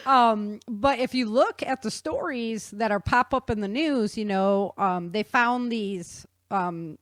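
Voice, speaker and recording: Woman explaining stuff casually, kinda animated, slangy, really dry recording. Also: a little high, slow, dry recording. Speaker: guest author explaining research.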